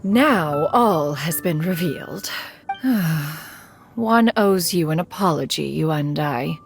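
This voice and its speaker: A woman's voice: low voice, expressive, slow pace, creaky, faint music behind, full character voice. A woman voicing a dignified ancient crane immortal in a video game, a caring mentor with formal, archaic, stately diction. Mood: solemn, regretful.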